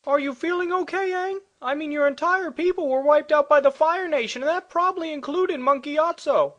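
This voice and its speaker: A woman's voice: high voice, a little fast, muffled recording, close to an everyday voice. A woman playing a sensible, sarcastic teenage girl in a cartoon parody, dry and teasing. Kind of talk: comedic cartoon parody dub.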